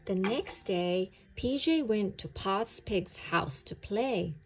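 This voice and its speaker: A woman reading a children's story aloud in an expressive, deliberate way. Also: medium pitch, a little hiss or hum.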